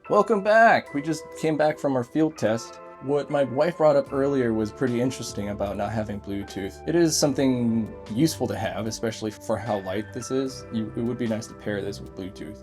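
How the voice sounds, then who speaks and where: A man's voice: medium pitch, fairly quick, hardly any pauses, music in the background. A man giving a casual, unscripted gadget review in a chatty way, reading details aloud and adding his own asides.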